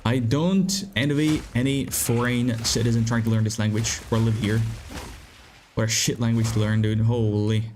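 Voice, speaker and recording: A man's voice: medium pitch, flat delivery, moderate pace, darkish tone, a little hiss or hum. A young man with a Czech accent, a game streamer chatting casually with viewers, swearing and reading chat aloud. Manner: laid-back, swears, reads chat aloud.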